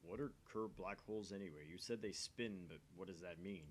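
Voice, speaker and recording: A man's voice: a little fast, natural voice. A man voicing a teasing, nerdy young male otaku from a visual novel, droll and full of questions. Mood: teasing, curious. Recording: faint noise with low rumble.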